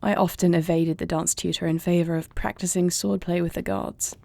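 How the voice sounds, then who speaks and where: A woman playing an earnest young princess and heir in an intimate audio roleplay, soft-spoken and formal in her phrasing. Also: fairly low pitch, fairly even intonation, normal speed, gravelly, no room sound. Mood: fond, playful. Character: earnest young princess and warrior heir, anxious yet tender.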